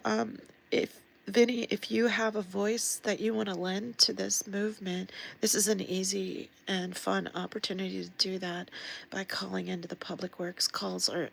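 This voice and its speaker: A woman's voice: mid-range voice, vocal fry, a bit bright, low-quality recording. A woman hosting a casual livestream. She is sniffly and losing her voice, and she talks earnestly with many fillers and restarts. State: sniffly, sad. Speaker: livestream host and activist. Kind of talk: casual livestream talk to viewers.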